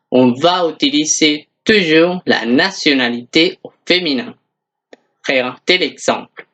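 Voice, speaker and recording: Very slow, deliberate language teacher. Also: medium pitch, sharp tone. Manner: deliberate, instructional, repeats example phrases. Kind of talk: scripted beginner language lesson with repeated examples.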